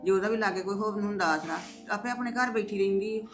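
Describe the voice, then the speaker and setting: A woman's voice: mid-range voice, fast talker, faint music behind, lightly acted. A woman playing an older mother-in-law who reasons firmly and soothes her daughter-in-law in a family drama. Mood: reasoning. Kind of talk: domestic drama acting.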